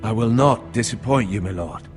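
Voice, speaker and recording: 남자 배우가 연기하는 음악 위로 들리는 충직한 참모. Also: male voice, fairly low pitch, normal speed, soft background music, natural voice. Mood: measured, loyal. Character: pragmatic, cautious counsellor loyal to his young lord. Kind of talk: dramatic video game acting.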